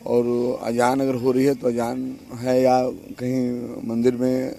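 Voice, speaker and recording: A man, a politician with a gravelly, husky voice, giving an informal sound bite to reporters. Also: mid-range voice, monotone, slow pace, dark tone.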